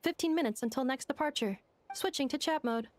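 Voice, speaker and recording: Female robot, game role, acted by a woman: literal, courteous, measured delivery, fairly high voice. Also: close to an everyday voice. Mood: polite, businesslike. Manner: formal, precise, measured. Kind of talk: video game character dialogue. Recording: game music behind the voice.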